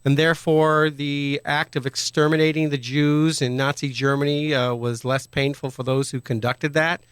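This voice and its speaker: A man's voice: a little high, a bit bright, dry recording, clean studio sound. A man hosting a radio talk show, interviewing a guest in an earnest, opinionated way. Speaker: radio host.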